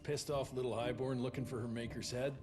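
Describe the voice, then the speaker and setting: An actor playing a curt, commanding, confident Wild West leader in a dramatic video game. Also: male voice, medium pitch, fairly even intonation, brisk, over music, lightly acted.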